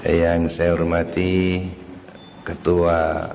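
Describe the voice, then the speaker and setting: A hoarse man giving a religious lecture in a mosque, speaking in a slow, measured way. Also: low-pitched, monotone, a little mellow, a little hiss or hum. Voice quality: slightly coughing. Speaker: preacher. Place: mosque hall. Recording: echoey hall with faint ambience.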